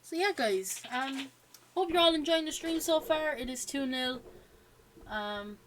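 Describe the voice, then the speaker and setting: A woman's voice: sits high, expressive, a little slow, a bit bright, slight background noise. A woman with an Irish accent hosting a live watch-along stream. She chats casually, reads viewer messages aloud and leans on frequent "um" and "yeah" fillers. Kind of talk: live watch-along chat and match reactions.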